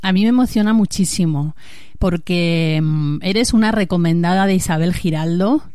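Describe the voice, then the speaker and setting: A woman's voice: on the low side, normal speed, warm tone, clean tone, dry recording, clean studio sound. A woman hosting a conversational literary interview on a podcast. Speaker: podcast host interviewing a writer.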